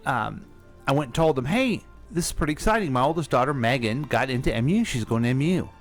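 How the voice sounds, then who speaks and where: A man's voice: mid-range voice, lively intonation, fast, faint music behind. A middle-aged man co-hosting a radio show in a casual, chatty style, putting questions and interjecting. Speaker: radio co-host asking questions. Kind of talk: casual chat, interjecting and joking.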